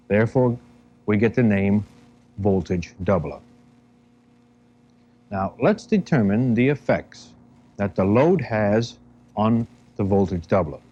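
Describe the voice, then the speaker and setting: An American man narrating an instructional training film, explaining step by step in measured, deliberate phrases. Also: fairly low pitch, warm tone. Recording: dull, band-limited 1970 film soundtrack.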